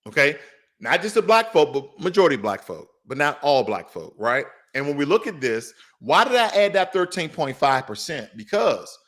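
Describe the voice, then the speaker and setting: A man's voice: fairly high pitch, a bit bright. An American man hosting a news commentary show, talking viewers through charts in a didactic, emphatic way and often checking that they follow.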